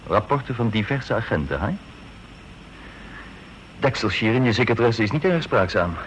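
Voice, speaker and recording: An actor playing an inquisitive, needling army major in a radio drama, probing and insinuating, on a dull, noisy old recording. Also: male voice, fairly low pitch, animated, normal speed, close to an everyday voice.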